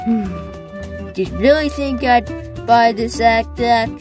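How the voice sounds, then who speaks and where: A cocky young cartoon hedgehog hero, played by a woman in a comic dub. He talks in a slangy, wry way at an unhurried pace, with music underneath. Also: fairly high pitch. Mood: suspicious.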